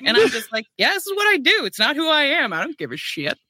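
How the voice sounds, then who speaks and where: Woman narrator w a low boyish voice, bright tone, dry close mic, chatty n quick. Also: huge pitch swings, no echo. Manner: chatty, quick, laughs often. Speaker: audiobook narrator, guest. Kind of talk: casual podcast chat.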